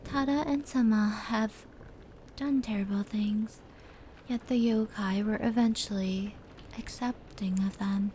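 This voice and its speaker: Woman playing a slow, breathy, gentle yokai storyteller. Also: medium pitch, lightly acted, low-quality recording. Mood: gentle, concerned. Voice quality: soft, breathy, often near-whispered. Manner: unhurried, soothing, measured narration. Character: gentle female yokai storyteller, caring and protective companion. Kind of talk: ASMR roleplay, slow soft storytelling. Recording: steady rain and brushing noise layered over. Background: indoor rain patter and fur brushing.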